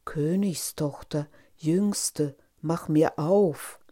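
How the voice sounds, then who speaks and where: Storybook frog, acted by a female narrator: male creature, deliberate, insistent bargainer with unhurried phrasing. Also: low-pitched, dark tone, heavily acted. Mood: demanding.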